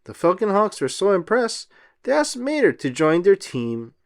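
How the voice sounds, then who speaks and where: A man reading a children's storybook aloud as narrator, in an expressive style. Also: sits high, moderate pace.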